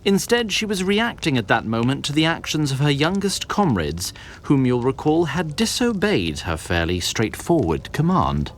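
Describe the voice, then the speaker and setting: A man's voice: on the high side, very animated, moderate pace, slight background noise. A man narrating a scripted fantasy story with a literary storyteller's delivery.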